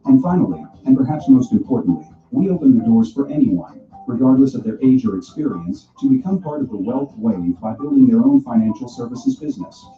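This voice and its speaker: A man reading a scripted corporate promotional narration with a smooth, polished delivery. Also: medium pitch, sing-song, a little fast, few pauses. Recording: dull, distant video playback in an echoey room. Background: background music.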